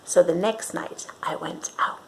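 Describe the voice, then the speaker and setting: A woman reading a storybook aloud to young children in an expressive, dramatic way, pausing to ask her listeners questions. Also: fairly low pitch, vocal fry, slight background noise, rough recording.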